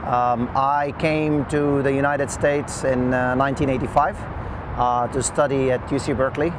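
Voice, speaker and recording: A man's voice: medium pitch, little pitch movement. A middle-aged man recounts his work in an unscripted interview, hesitating often as he goes. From Lebanon. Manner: halting, with frequent long hesitations. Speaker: engineer interviewee. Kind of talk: oral history interview, unscripted recollection. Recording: loud outdoor traffic noise.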